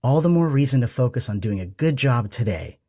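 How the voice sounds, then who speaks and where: A man's voice: mid-range voice, very animated, fairly quick, a little mellow, slightly rough, voice pushed up. A man voicing a prim, passive-aggressive middle-aged mother in an audiobook. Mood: reproachful. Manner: prim, reproachful.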